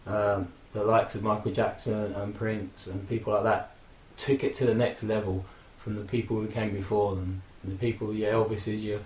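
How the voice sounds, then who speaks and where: A man in his forties, hoarse from a throat infection, casually rambling and reminiscing with frequent fillers and laughs. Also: lowish voice, little pitch movement, moderate pace, few pauses, a little mellow, echoey room, a little hiss or hum, low-quality recording. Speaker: vlogger. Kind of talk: casual personal tribute monologue.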